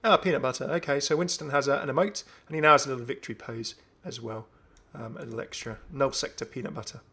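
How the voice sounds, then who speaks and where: A man's voice: mid-range voice, fast, bright, forceful tone, breathy edge. A man giving casual, off-the-cuff video game commentary as a host, chatty and often musing aloud. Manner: chatty, musing aloud, asks himself questions.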